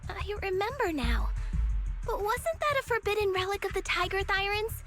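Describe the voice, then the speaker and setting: A woman's voice: very high voice, animated, normal speed. An actress voicing an earnest, brave young girl martial disciple in a video game, with a plucky manner. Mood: confused, indignant. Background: music.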